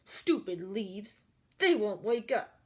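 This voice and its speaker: A woman's voice: medium pitch, big pitch swings, slow pace, husky, heavily acted. A woman voicing a big, bossy, dim-witted female capybara in a storybook, exclaiming in a brash, indignant way. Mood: grumpy.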